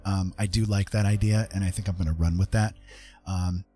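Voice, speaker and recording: A man livestreaming in a casual, rambling and gentle way. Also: sits low, fairly even intonation, brisk. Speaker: live streamer and artist. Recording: music playing behind the voice. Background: music.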